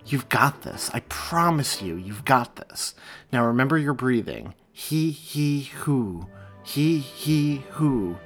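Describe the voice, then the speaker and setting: A narrator voicing a gentle young man who speaks in a quiet, soothing way. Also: medium pitch, sing-song, a little slow, faint music behind, heavily acted.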